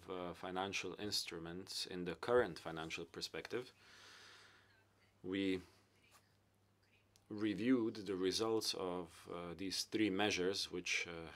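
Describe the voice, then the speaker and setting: A man giving simultaneous English interpretation at a conference panel, with frequent hesitant pauses and fillers. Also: sits low, a bit bright.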